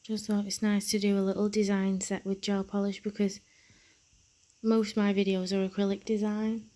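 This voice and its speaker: A young woman, a nail technician, narrating a tutorial in a soft half-whisper with a slightly croaky voice. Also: mid-range voice, flat delivery, moderate pace. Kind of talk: tutorial voice-over.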